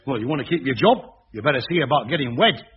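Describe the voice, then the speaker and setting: Type: man playing a gossipy, matey workman. Delivery: lively, up and down. Audio: dull old radio. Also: mid-range voice, fast, over music, close to an everyday voice. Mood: confiding. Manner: chatty, confiding, wry. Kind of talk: 1980s radio sitcom acting.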